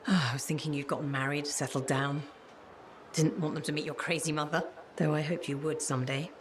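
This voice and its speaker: A woman's voice: sits low, fairly even intonation, fairly quick, natural voice. Game role: frank, loving elderly mother played by a woman, wistful and fretful, light noise behind. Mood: gently chiding. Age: older. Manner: wistful, gently chiding. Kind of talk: video game voice acting.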